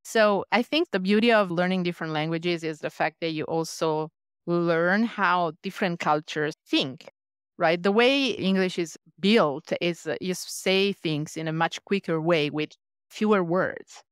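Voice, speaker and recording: A woman's voice: on the low side, unhurried, smooth, dry recording, clean studio sound. A woman with an Italian accent, a leadership coach, speaking thoughtfully as a guest in a podcast interview. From Italy. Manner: thoughtful, reflective, earnest.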